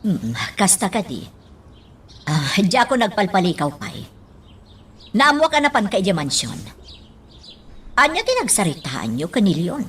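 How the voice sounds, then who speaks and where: A woman's voice: mid-range voice, wildly swooping pitch, measured pace, long pauses, a bit bright, far from the mic, a little hiss or hum. An actress playing a guarded, anxious woman in an Ilocano radio melodrama. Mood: guarded, probing. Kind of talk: Ilocano radio drama acting.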